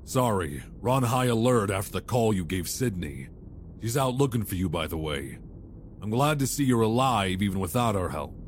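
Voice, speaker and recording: An actor playing a guarded, condescending armored soldier in a sci-fi audio drama, with a dry, curt manner. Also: male voice, lowish voice, lively intonation, normal speed, slight background noise, natural voice. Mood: dry, businesslike.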